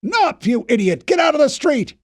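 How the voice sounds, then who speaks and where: A man voicing a furious, barking male army captain who shouts his orders. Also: very high-pitched, big pitch swings, normal speed, voice pushed up. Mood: yelling.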